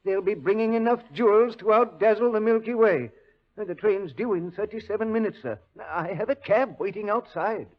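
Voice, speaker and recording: An actor playing a deferential, fretful railway station official who explains hesitantly, in 1940s radio drama style. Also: male voice, high-pitched, normal speed. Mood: earnest, puzzled. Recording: dull old radio recording.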